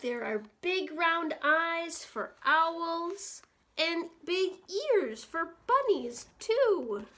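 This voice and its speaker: A woman with a high voice leads a children's story time. She takes her time, with a very slow delivery. Her manner is playful and she speaks straight to her young listeners. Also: pushed and bright. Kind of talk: picture-book story time with read-alouds and sing-along.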